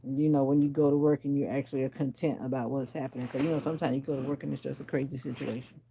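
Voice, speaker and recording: A sleepy middle-aged woman, raspy from a sinus infection, chatting casually with viewers on a phone livestream from home and teasing them by name. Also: very deep voice, steady tone, rapid-fire, mellow, slight background noise, poor audio. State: sleepy and exhausted. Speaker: livestream host. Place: at home indoors.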